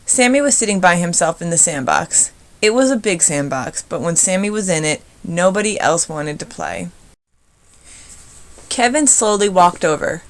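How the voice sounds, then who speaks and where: A woman's voice: lowish voice, lively intonation, normal speed. A woman narrating a children's storybook expressively as its reader.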